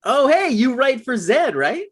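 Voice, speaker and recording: An actor playing an awkward, eager, put-upon young job seeker with a halting, flustered way of talking in a comedy table read. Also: male voice, extremely high pitch, sing-song, heavily acted. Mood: eager, hesitant.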